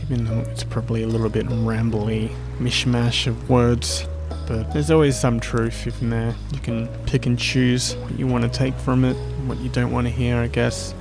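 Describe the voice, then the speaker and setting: Male podcast host, medium pitch with noticeable vocal fry, monologue delivery over a music bed. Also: level tone, normal speed, hardly any pauses. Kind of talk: spoken-word monologue and casual podcast sign-off, over music. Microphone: close podcast microphone.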